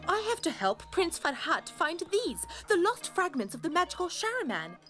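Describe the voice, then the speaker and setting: A woman's voice: extremely high pitch, sing-song, normal speed, fairly bright tone, faint music behind, exaggerated voice. A woman voicing a feisty, bookish young English scholar in a cartoon, speaking assertively and emphatically. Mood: defiant. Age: young adult. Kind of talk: cartoon voice acting.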